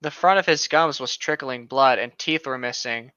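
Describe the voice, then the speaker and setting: A man's voice: medium pitch, normal speed, pushed and bright, clear, resonant tone, no room sound, highs cut off. A man co-hosting, reading a story aloud with casual, sweary commentary and stopping to correct himself. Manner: sweary, self-correcting reader. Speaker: co-host.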